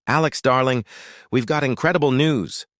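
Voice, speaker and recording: A man voicing a brisk, glamorous female art agent who gushes and pushes. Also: fairly bright tone, dry recording, clean studio sound, close to an everyday voice. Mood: gushing. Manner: pushy, gushing, raises pitch.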